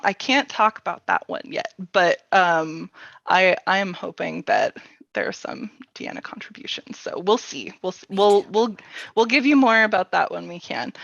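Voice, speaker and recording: A woman hosts in a bright, forward voice with very little room sound. She chats casually, feeling her way through phrases in an informal, giggly manner. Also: medium pitch, creaky, dry recording, dull audio. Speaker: workshop host. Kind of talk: casual chat.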